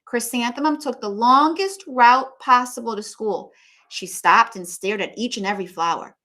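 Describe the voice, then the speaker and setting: A woman reading a picture book aloud in an expressive, animated teacher's manner, with asides to young listeners. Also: a little high, moderate pace. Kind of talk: expressive children's story read-aloud.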